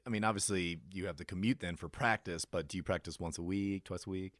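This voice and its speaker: Lowish-pitched American man interviewing casually. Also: fairly even intonation, fast, fairly bright tone, no echo, clean studio sound. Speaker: radio DJ, interviewer.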